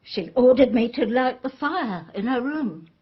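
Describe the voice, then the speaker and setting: An actress playing a loyal, deferential household servant in a radio drama, earnest in manner. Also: female voice, a little high, lively intonation, unhurried, husky, muffled recording, exaggerated voice.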